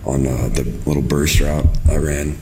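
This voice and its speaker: A male football player answering an interview question, hoarse from a throat injury, deep and gravelly, pausing with fillers. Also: little pitch movement, a little mellow, slight background noise. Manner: halting, with filler pauses.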